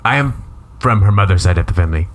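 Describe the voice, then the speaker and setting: Bad fake Pakistani accent, low, swooping; man plays a grave goat-demanding uncle. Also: animated. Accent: deliberately bad fake Pakistani accent. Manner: grave, slow, formal pronouncements. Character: solemn middle-aged man demanding goats as penance.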